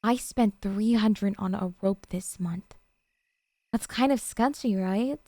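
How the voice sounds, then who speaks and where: A woman's voice: mid-range voice, takes it slowly, warm tone, no echo. A woman playing an obsessive, possessive yandere girlfriend in an intimate ASMR roleplay, talking in a coy, cutesy, hesitant way to her sweetheart. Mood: sheepish justifying.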